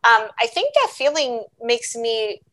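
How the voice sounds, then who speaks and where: A middle-aged woman from Brazil whose first language is Portuguese, a chemical engineer speaking as a guest in a reflective, measured way with many fillers. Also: fairly high pitch, big pitch swings, fairly bright tone. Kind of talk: conversational interview.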